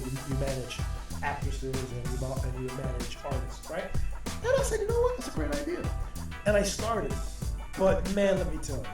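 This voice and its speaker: A man hosting a podcast, from Jamaica, talking in a hyped, casual way and shouting out guests and sponsors. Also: on the high side, echoey room, recorded from a distance. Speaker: podcast host.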